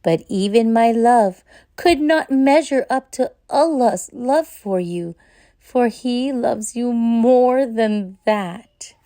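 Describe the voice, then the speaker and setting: Woman playing a slow, tender storybook mother. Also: fairly high pitch, dark tone. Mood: loving. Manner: tender, measured. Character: gentle, loving, reassuring mother. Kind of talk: children's storybook character voice.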